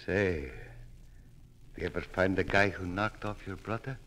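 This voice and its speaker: An actor in a 1940s radio crime drama playing a smug gangster who feigns friendliness with oily, taunting slang. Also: male voice, low-pitched, fairly even intonation, a little slow, a bit bright.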